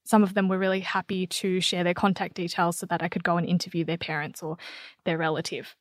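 A woman's voice: fairly low pitch, little pitch movement, quick pace, no echo, clean studio sound. A woman with an Australian accent, telling a story conversationally as an interview guest. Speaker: podcast guest, writer and editor.

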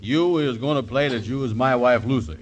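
A man's voice: medium pitch, brisk, natural voice. A man playing a pompous, bumbling schemer who stammers his way out of trouble, in a 1940s radio comedy. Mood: blustering. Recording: noisy old radio broadcast.

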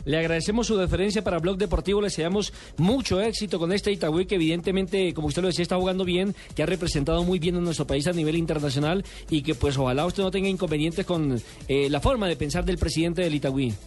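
A man with a Colombian accent hosting a sports radio show, announcing scores and thanking guests in brisk broadcast style. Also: on the high side. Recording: radio broadcast with loud music bed.

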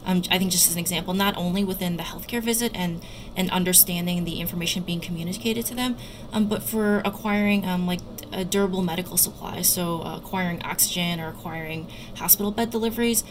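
A woman doctor and researcher giving careful, somewhat halting explanatory answers as an interview guest. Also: lowish voice. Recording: loud background noise.

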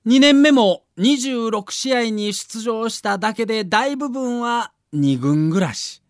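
A man's voice: very high voice, expressive, takes it slowly, dry recording, clean studio sound. A man narrating a scripted documentary as a story, with dramatic emphasis.

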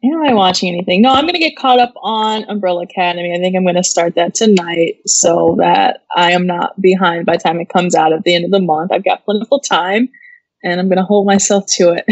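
A woman's voice: on the low side, brisk, no echo. A woman co-hosting an entertainment live show in casual, informal chat. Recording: dull, lo-fi dry audio.

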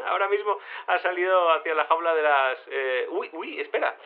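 An actor with a Spain accent playing an enthusiastic, rambling amateur case-solver who stammers and repeats himself in a naturalistic audio comedy. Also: male voice, on the high side, normal speed, close to an everyday voice. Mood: cheerful, flustered. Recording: tinny phone line.

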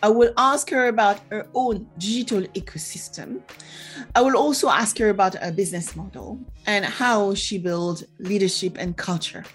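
A woman's voice: mid-range voice, moderate pace, soft background music. Woman podcast host introducing a guest. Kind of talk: scripted introduction and interview questions.

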